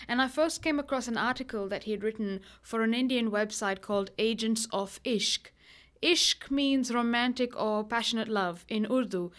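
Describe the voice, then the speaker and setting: Young woman, podcast host, smooth clear voice, a bit high, earnest chatty intro. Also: a bit bright. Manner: earnest, chatty, with fillers and restarts. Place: Melbourne.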